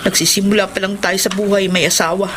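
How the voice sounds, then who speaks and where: Radio drama wife, female actor, young, discontented, plaintive everyday delivery, medium pitch, audible noise behind. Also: flat delivery, fast talker, close to an everyday voice. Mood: frustrated, plaintive. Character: discontented young wife, Tina, worn by money worries. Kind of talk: Tagalog radio drama acting.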